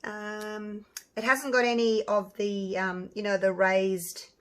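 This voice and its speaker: A slightly hoarse woman hosting a casual crafting tutorial, chatting and thinking aloud with lots of ums and restarts. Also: medium pitch, level tone, slow pace, fairly bright tone. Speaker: resin artist and channel host.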